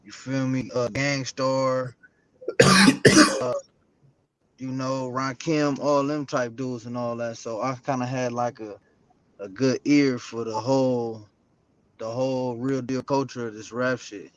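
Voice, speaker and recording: A man's voice: mid-range voice, steady tone, slow pace, fairly bright tone. A man from South Central Los Angeles, a rapper talking as a guest in a casual interview, laid-back and rambling with lots of slang. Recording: dull lo-fi audio with faint noise.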